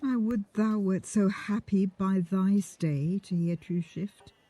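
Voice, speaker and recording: A woman's voice: medium pitch, slow pace, dark tone, dry recording. A woman playing an anxious noble mother in a Shakespearean audio drama.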